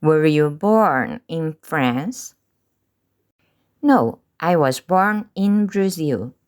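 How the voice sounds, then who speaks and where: A woman teaching English through slow, deliberate pronunciation drills, pausing between short phrases. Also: low voice, lively intonation. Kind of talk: slow drilled language lesson.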